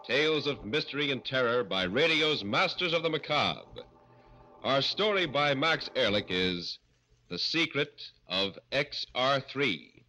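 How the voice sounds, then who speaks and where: A man's voice: mid-range voice, big pitch swings, measured pace. A man narrating a 1940s radio horror show as announcer, ominous and theatrical. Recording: muffled old radio recording. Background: loud dramatic music.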